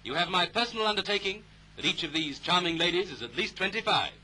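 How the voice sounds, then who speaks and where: High-pitched British 1930s newsreel man. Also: expressive, fast, pushed and bright, slightly rough, rough recording. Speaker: newsreel narrator. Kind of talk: 1930s British newsreel commentary, scripted narration. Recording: vintage 1930s newsreel soundtrack. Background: music.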